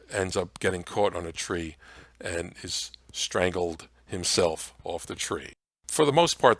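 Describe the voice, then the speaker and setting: A man presenting an informal slide lecture in a halting, conversational way. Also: deep voice, a bit bright, no room sound.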